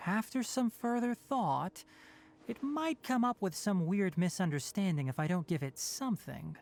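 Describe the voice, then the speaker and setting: An actor playing a sensible young male forest ranger in a video game, instructive, calm and matter-of-fact, with dry wit and a caring streak. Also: mid-range voice, unhurried. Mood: measured, considerate. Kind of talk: video game character acting.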